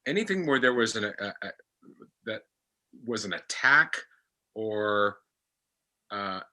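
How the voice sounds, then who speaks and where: A man speaking in a halting, deliberate way as an actor in a casual panel discussion. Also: lowish voice, expressive, sharp tone. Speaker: podcast actor explaining his character.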